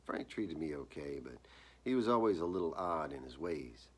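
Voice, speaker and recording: A man with an exceptionally deep voice reads his fiction aloud. The tone is husky and rough-edged, and he phrases things deliberately, like an author presenting his own work. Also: big pitch swings. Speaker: author reading own short story. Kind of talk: dramatic live reading of literary horror fiction.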